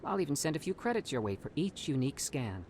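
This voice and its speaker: An inquisitive alien researcher chatters about his studies in a video game. His voice runs a bit high and swings up and down as he talks. He sounds keen and a little fussy, with faint noise behind him. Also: sing-song, fast talker, a little mellow. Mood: eager. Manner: eager and inquisitive. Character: curious, fussy male alien scientist. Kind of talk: video game cutscene acting.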